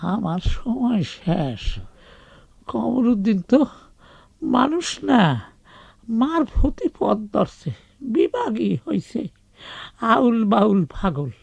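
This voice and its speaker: An actor playing an ailing old Bangladeshi village father in a radio drama, doting and pleading, with a rural accent and a frail, creaky voice. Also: extremely high pitch, very animated, drawn-out words, pauses often, dry recording, full character voice. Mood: weak, pleading. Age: older.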